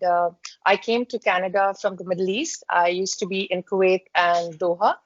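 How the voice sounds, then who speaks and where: A woman's voice: mid-range voice, no room sound. Woman giving advice. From India. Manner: earnest, step-by-step advice with frequent pauses. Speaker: interview guest. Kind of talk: casual interview, giving job-search advice. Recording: dry, muffled lo-fi audio.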